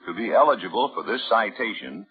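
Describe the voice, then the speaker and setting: A man's voice: fairly low pitch, big pitch swings, a little fast, slightly rough. A man announcing in a formal, solemn 1950s scripted radio style. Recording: tinny old radio broadcast with music.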